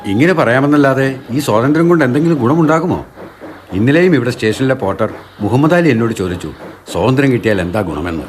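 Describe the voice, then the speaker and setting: A man's voice: mid-range voice, expressive, quick pace, a little hiss or hum, natural voice. A man playing a joking railway colleague. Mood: teasing. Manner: conversational and joking. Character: middle-aged railway colleague, friendly and teasing. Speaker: radio drama actor. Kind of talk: radio drama acting.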